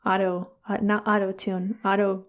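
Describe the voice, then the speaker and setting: A woman in her thirties co-hosting a podcast, chatting casually and joking at her own expense, quick to laugh. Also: medium pitch, level tone. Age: 30s. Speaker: podcast co-host. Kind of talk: casual chat.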